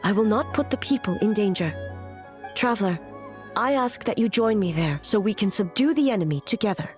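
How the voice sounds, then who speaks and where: Almighty female warrior ruler for a game acted by a woman, mid-pitched, measured, solemn, music underneath. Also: lively intonation, darkish tone, natural voice. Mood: resolute. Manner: formal, grave, measured. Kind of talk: scripted video game voice acting. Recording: music behind the voice.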